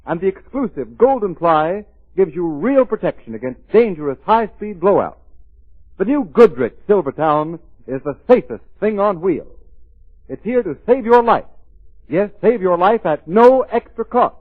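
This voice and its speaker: A man's voice: sits high, very animated, moderate pace, narrow, tinny audio. A man delivering a scripted commercial as an emphatic, declamatory old-time radio announcer, on a vintage recording.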